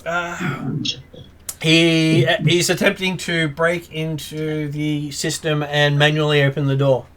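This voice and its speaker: Guy game master, kinda high voice, stops and starts a lot, tabletop session. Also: flat delivery, fairly bright tone. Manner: halting, with many fillers and restarts.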